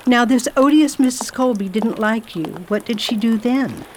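A woman playing a self-assured, kindly lady traveller in radio theatre, speaking in a formal, deliberate and imperious way. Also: on the high side, a little hiss or hum, close to an everyday voice. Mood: brisk, kindly. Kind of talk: radio theatre acting and diary narration.

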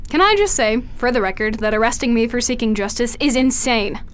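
A cold female killer acted by a woman in a radio play, speaking in a fairly high voice with a little noise behind her. She is mocking, condescending and sure that she is in the right. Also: lively intonation, a little fast, lightly acted. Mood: defiant. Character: cold, taunting female killer, unrepentant former comrade. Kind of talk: audio drama acting.

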